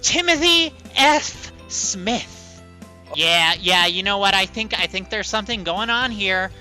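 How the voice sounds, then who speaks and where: A woman's voice: mid-range voice, animated, unhurried, sharp tone, soft background music. A woman chatting playfully as a presenter on a children's show.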